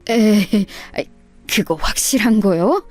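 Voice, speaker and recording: A woman voicing a polite, troubled older man, a grandfather, in a halting, subdued way for a story reading. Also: medium pitch, animated, darkish tone, faint music behind. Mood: uneasy disbelief. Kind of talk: dramatised radio story reading.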